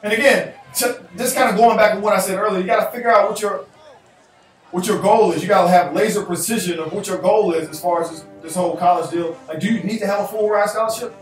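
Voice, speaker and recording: High-voiced man giving animated advice. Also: quick pace. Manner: animated, gestures with hands, repeats phrases for emphasis. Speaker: advice vlogger answering viewer emails. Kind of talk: casual advice talk to camera. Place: indoor room in front of a chalkboard backdrop. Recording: echoey room, voice a bit far off, faint music.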